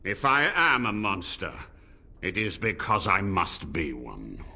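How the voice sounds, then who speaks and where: An old blood mage, bitter and proud, slow and lively, bright tone. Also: male voice, deep voice, creaky, breathy edge, heavily acted. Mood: defiant.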